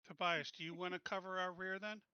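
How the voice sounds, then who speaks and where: A man with a very bright, forward voice on the higher side talks casually as a tabletop player. He sounds smooth, though the lo-fi call dulls the recording a little. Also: steady tone, fairly quick, dry recording. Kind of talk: casual game chat. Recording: dull lo-fi voice call.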